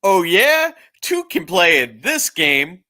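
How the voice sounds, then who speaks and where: Performer doing a bossy cartoon ghost, cocky, brash, animated pitch, sharp bright tone. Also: slow pace, heavily acted. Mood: defiant.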